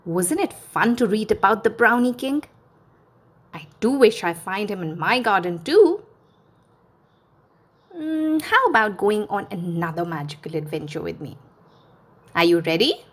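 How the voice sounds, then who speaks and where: A female host reads a children's story aloud. Her delivery is slow and very expressive, with animated pitch, as she speaks straight to young listeners. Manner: expressive, addressing small children directly.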